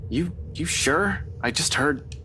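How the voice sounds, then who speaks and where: High-strung, animated male detective. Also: slow pace, slight background noise, exaggerated voice, rough recording. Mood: uneasy, confused. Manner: tense, defensive. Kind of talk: visual novel voice acting.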